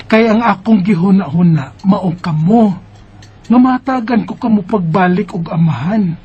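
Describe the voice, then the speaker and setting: A woman's voice: medium pitch, normal speed, slightly rough, natural voice. A woman with a Cebuano Filipino accent playing a forgiving, self-sacrificing middle-aged mother in a Bisaya radio drama. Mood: soothing, pleading. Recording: muffled lo-fi radio drama, noisy background.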